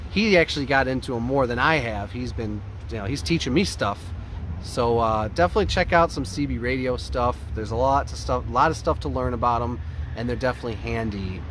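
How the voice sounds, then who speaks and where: American male vlogger, thirties, casual and rambling, mid-pitched, recorded outdoors at a van with clear ambient noise. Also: a little fast, a bit bright. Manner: rambling, thinking aloud. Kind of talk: casual update vlog to camera. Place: open back of a van, outdoors.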